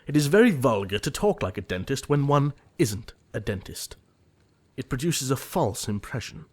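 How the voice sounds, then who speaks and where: A man playing an earnest, easily exasperated young upper-class gentleman in a period comedy audio play, speaking formally and precisely. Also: mid-range voice, very animated, normal speed. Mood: indignant.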